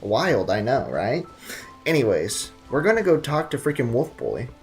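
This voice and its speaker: Young male game streamer, middle pitch, casual and slangy, joking asides over faint game music. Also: huge pitch swings, moderate pace. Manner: jokey, slangy running asides. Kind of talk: casual live gameplay commentary.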